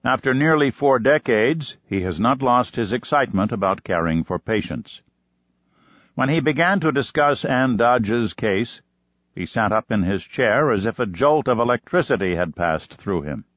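A man reading a nonfiction book aloud in a measured, even manner as an audiobook narrator. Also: medium pitch, no room sound, lo-fi sound.

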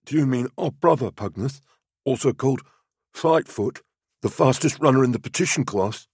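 A man's voice: mid-range voice, animated, normal speed, a little mellow, husky, no echo, studio quality, pitched above the performer's own voice. A man voicing a cheeky, battered youth who talks back to his superiors with insolent mock innocence, in an audiobook story. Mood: mock-innocent.

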